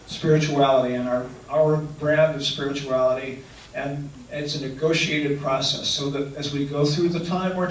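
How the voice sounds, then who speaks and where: A man's voice: fairly high pitch, far from the mic. Earnest man speaking in an echoey hall. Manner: earnest, hesitant, restates questions. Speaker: canoe trip leader answering audience questions. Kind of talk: talk with audience Q&A. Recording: echoey room, speaker away from mic, faint noise.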